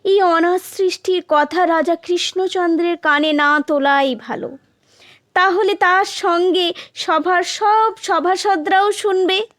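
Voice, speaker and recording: Miserly old aunt, voiced by a woman: pious, easily alarmed, extremely high voice, storybook style. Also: steady tone, slow pace, fairly bright tone, dry recording, clean studio sound, exaggerated voice. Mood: pleading.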